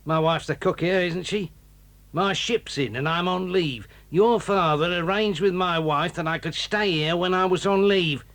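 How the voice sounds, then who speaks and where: An actor playing a gruff, bullying middle-aged sailor who talks slowly and threateningly in an audio drama. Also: male voice, sits high, a bit bright. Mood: menacing. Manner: menacing, slow and threatening. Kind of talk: 1980s audio drama acting.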